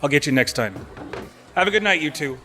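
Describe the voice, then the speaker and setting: Evasive, lively woman lawyer. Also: deep voice, brisk, soft background music. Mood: wry. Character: evasive, dry-witted woman lawyer, a younger rising colleague. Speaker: actor in an audio drama. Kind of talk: audio theatre acting.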